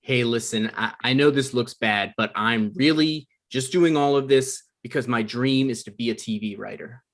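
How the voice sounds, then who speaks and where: A man's voice: medium pitch, normal speed, lightly acted. Man playing an eager, pushy aspiring writer. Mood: pleading. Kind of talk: comedy script table read.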